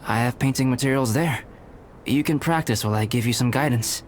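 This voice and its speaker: A male actor playing a calm, reserved young alchemist in a video game, speaking in a measured, formal, analytical way. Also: mid-range voice, heavy background noise, natural voice. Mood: calm, polite. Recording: background music under the voice.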